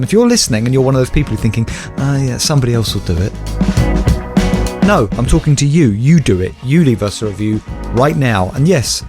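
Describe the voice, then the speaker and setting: A man co-hosting a comic podcast, teasing his partner and pushing listeners for reviews in a wry manner. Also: mid-range voice. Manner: wry, teasing, assertive sign-off. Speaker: podcast co-host. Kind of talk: comedic podcast chat.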